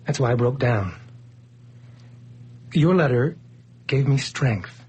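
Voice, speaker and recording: A man's voice: medium pitch, expressive, takes it slowly, darkish tone, husky, heavily acted. An actor playing Jim, a nervous, jumpy man recovering from a breakdown, in an old radio drama. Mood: pleading. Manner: jumpy, anxious, pleading.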